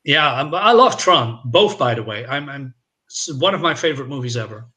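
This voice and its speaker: A man's voice: medium pitch, expressive, normal speed, bright, forceful tone. A man co-hosting a casual movie discussion, giving his opinions freely. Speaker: film discussion show co-host.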